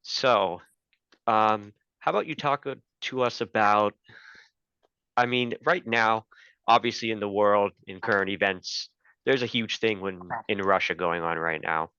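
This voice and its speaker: Man, lowish bright voice, clear, conversational podcast host, dry slightly lo-fi sound. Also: unhurried, dry recording. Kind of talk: conversational interviewing.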